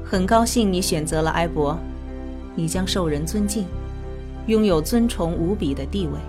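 An actress playing a composed research doctor in a science fiction radio drama, speaking in a calm, formal, matter-of-fact way. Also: female voice, on the low side, moderate pace, over music, natural voice. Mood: composed, solemn. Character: composed female research doctor, frank and clinical. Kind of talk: Chinese sci-fi radio drama acting.

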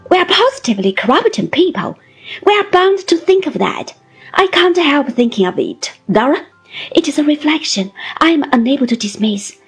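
A woman's voice: high-pitched, a little mellow, breathy edge, full character voice. A woman voicing an earnest young husband in a story, patient but exasperated, remonstrating in formal, moralising phrases.

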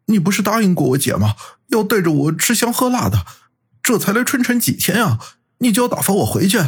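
Audiobook role: whiny, timid young male lackey, high-swooping, rough-husky voice, stammering and defensive. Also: very animated, fairly quick, heavily acted. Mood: whiny, pleading. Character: cowardly young hanger-on brother-in-law, whiny and defensive. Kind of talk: audiobook character acting.

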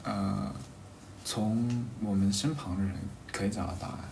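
A man in a casual, reflective conversation, halting and rephrasing his thoughts as he goes. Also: lowish voice, fairly even intonation, creaky, a little mellow. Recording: roomy echo, faint background noise.

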